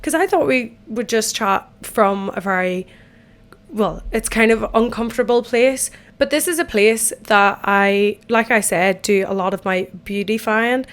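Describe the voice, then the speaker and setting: A woman in her twenties with an Irish accent, hosting a casual solo podcast from an empty bathtub at home, her voice croaky after a night out and her talk chatty, rambling and self-deprecating. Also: a little high, unhurried. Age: 20s. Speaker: podcast host.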